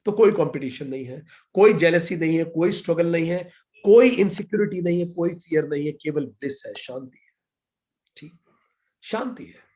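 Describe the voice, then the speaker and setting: Man, Indian accent, spiritual teacher, scripture lecture, emphatic, repetitive build-ups, rhetorical questions, slightly high voice. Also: expressive, normal speed, warm tone. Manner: asks and answers his own questions.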